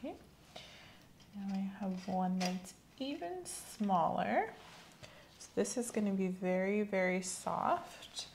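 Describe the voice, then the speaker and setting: A young woman plays a clinical examiner in an ASMR roleplay. She gives gentle, measured instructions in a soft, creaky voice, with some room noise behind her. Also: medium pitch, sing-song. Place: small room set up as an exam office.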